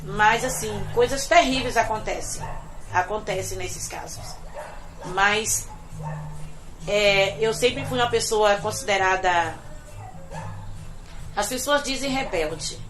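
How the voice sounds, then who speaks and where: A middle-aged woman from Bahia, a domestic worker, giving an earnest, reflective personal testimony that often trails off quietly. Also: mid-range voice, slow, pauses often, over music. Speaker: domestic worker telling her story.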